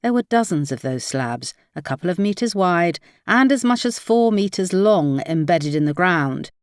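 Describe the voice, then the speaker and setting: A woman's voice: lowish voice, expressive, moderate pace, no room sound, studio quality. A woman reading a crime novel aloud as scripted audiobook narration.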